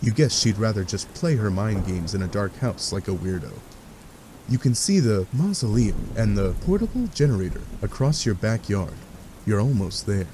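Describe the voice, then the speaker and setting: A man with a fairly low voice reads scripted story narration in the second person. His delivery is wry, with faint rain-like noise behind him. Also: sing-song, normal speed, warm tone, low-quality recording. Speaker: narrator of a fan dub.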